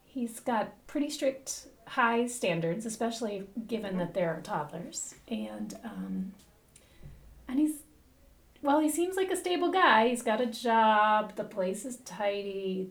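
A woman's voice: medium pitch, expressive, takes it slowly, far from the mic. A woman hosting a conversational book podcast, thoughtful and reflective, recorded in a roomy space away from the mic. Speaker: podcast host.

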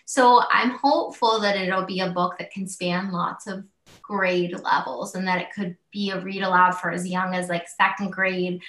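Bright-voiced American woman in a roomy space. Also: on the low side, fairly even intonation, normal speed. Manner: enthusiastic, frequent "like" and "kind of". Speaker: guest author on a book podcast. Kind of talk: casual interview chat. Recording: roomy echo.

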